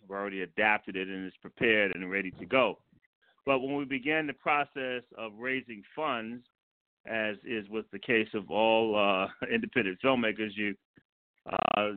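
An American man from Washington, D.C., a guest director giving measured, polite, somewhat hesitant interview answers. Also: fairly low pitch, no echo. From Washington, D.C., United States. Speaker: guest film and stage director. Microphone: telephone. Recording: tinny narrow phone line.

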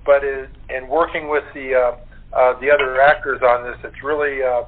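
A man with a mid-pitched voice talks casually as an interview guest. He is halting, often searching for the next word. The sound is narrow and tinny, as over a telephone. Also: little pitch movement. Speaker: radio play actor as guest.

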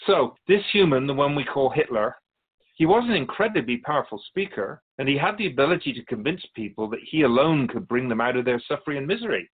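A man playing a calm otherworldly spirit who speaks in a measured, formal, instructive way in a radio drama. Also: medium pitch, slightly rough, narrow, tinny audio. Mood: solemn, explanatory. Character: calm otherworldly male soul keeper, earnest storytelling spirit. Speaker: interviewee.

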